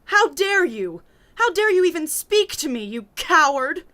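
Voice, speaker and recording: An actress playing a proud, defiant young princess in an audiobook, speaking in an indignant, cutting way. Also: female voice, extremely high pitch, sing-song, unhurried, pushed and bright, dry recording, exaggerated voice. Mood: outraged. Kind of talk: theatrical audiobook acting.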